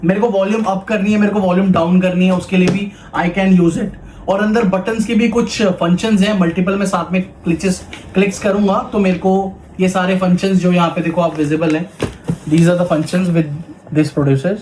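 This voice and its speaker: A man's voice: sits high, flat delivery, normal speed, a little mellow. An Indian man giving a casual product demo as a toy shop presenter, explaining step by step and mixing Hindi with English. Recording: echoey room, background noise and clicking.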